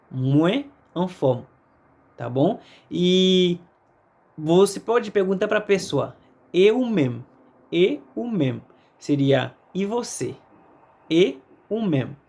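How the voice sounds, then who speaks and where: A man with a Haitian accent, native in Haitian Creole, gives a casual language lesson. His voice sits a little high for a man and often drops into fry. He moves along haltingly, checking in with small tags as he explains. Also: darkish tone. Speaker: language teacher.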